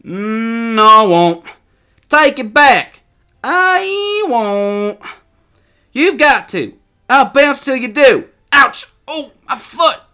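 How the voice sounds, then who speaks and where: An excitable young boy from a storybook, acted out by a man. The voice is pitched high and sharp, with a taunting, exclaiming way of talking. He bickers like a brother out in the woods. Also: normal speed. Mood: taunting, heated.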